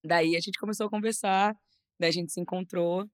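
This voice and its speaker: Young woman, Carioca accent, lower voice, dry close sound, casual guest chat. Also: fairly quick, dry recording. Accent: Carioca Portuguese. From Rio de Janeiro, Brazil. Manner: animated, restarts and repeats words, says "sabe?" often. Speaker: model and influencer, podcast guest.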